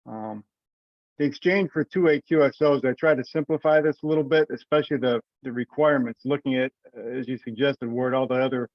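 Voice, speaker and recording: A man leads an informal teleconference in a medium-pitched voice. The sound is dry and close, slightly muffled like conference call audio. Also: brisk, no echo. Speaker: meeting leader. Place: remote teleconference.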